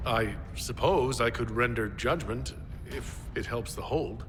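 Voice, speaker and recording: An actor playing a courteous, composed male commander and hero in a fantasy game, speaking in a measured, earnest way. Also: mid-range voice, music in the background, close to an everyday voice. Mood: formal.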